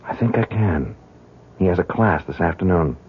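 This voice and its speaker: Earnest, deep-voiced man, old radio. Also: lively intonation, moderate pace, slightly rough, exaggerated voice. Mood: resolute. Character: earnest, determined young man dabbling against occult forces. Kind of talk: 1970s radio drama acting. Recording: muffled, narrow-band 1970s radio recording.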